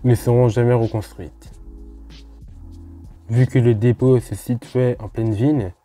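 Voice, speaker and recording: A man from France talks viewers through a history topic in a relaxed, explanatory way. His voice is deep-toned and very mellow, at an ordinary pitch, and he breaks off now and then for chatty asides. Faint music plays underneath. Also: unhurried. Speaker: host of a railway history channel. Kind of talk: casual explanatory narration.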